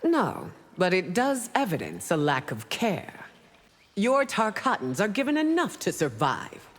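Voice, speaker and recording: A woman's voice: mid-range voice, wildly swooping pitch, measured pace. A woman playing a stern, haughty fantasy ruler in a fighting game, speaking formally and imperiously. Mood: stern, cold. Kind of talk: fighting game character dialogue. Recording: long reverb, faint noise.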